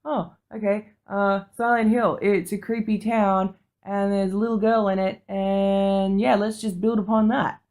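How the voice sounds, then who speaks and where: A woman's voice: medium pitch, little pitch movement, unhurried, darkish tone. A woman co-hosting a podcast, talking casually in an opinionated, informal way with some swearing. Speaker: podcast co-host. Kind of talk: casual panel discussion.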